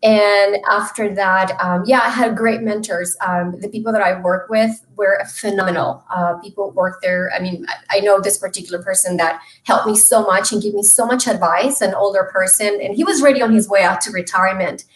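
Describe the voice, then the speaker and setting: Woman from mexico chatting on a video call, earnest, lots of ums, middle pitched voice, roomy sound. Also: steady tone, moderate pace, hardly any pauses. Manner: earnest, with many fillers and restarts. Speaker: guest, small business loan expert. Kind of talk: casual interview chat. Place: home room.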